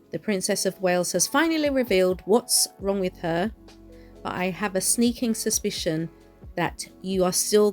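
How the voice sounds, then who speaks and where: A woman delivers opinion commentary with deliberate emphasis and open indignation. Her voice sits at an ordinary middle pitch. A faint bed of music plays behind her. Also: a little mellow. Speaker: commentary channel host. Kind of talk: opinion commentary to camera or voice-over.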